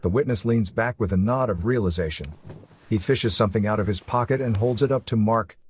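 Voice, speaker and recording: A man's voice: on the low side, a little fast, darkish tone, slight background noise. A man narrating a suspense story from a script as the narrator of an audio drama. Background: faint music.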